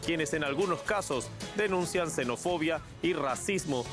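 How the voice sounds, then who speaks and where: A man's voice: a little high, lively intonation, moderate pace, music in the background. A man hosting a televised interview and debate, framing questions in a conversational way and thinking aloud as he goes. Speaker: TV interview host.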